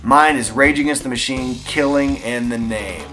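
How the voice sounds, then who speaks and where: A young man with a Texan drawl talks through viewer questions in a relaxed, enthusiastic vlog style. His voice sits at an ordinary middle pitch and is dotted with easy fillers. Faint music plays behind him. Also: moderate pace. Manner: enthusiastic, chatty, frequent fillers. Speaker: airsoft channel host answering viewer questions. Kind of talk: casual Q&A vlog.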